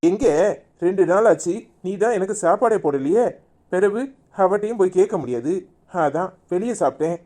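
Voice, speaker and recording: A woman's voice: on the low side, animated, moderate pace, mellow. A woman voicing a doting, exasperated village husband in a cartoon comedy, with a coaxing, colloquial Kanyakumari Tamil accent.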